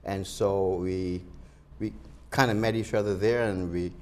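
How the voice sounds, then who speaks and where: Deep-voiced older man, Barbados-born pastor. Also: fairly even intonation, measured pace. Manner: earnest, hesitant with many restarts. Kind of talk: interview answers.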